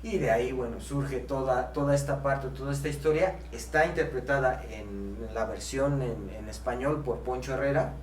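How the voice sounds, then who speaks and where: A man's voice: mid-range voice, normal speed, hardly any pauses. A man with a Mexican accent talking casually as a podcast co-host. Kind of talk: casual conversational podcast talk. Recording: echoey room, distant mic, faint hum.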